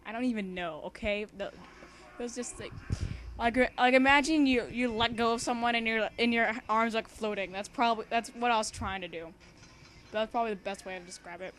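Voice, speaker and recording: A young woman reads a story aloud in a casual, homemade way. She has a medium-pitched voice and an excitable, giggly manner, and she drifts easily between the text and chatty asides. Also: a little hiss or hum. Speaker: amateur fan fiction reader and co-host.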